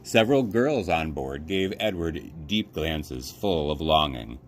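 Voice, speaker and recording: A man reading a children's story aloud as an expressive narrator, like a librarian at story time. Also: rumbling low, slow, a little hiss or hum.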